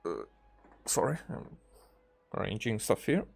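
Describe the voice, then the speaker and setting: A man giving casual let's-play commentary, rambling and often halting as he searches for his words. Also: on the low side, big pitch swings, creaky, slightly rough. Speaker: game streamer, commentator. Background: faint game music.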